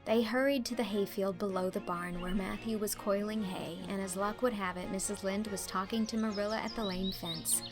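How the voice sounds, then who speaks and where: A woman's voice: medium pitch, fairly even intonation, normal speed, few pauses. A woman reading scripted storybook narration as an audiobook narrator and host. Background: music.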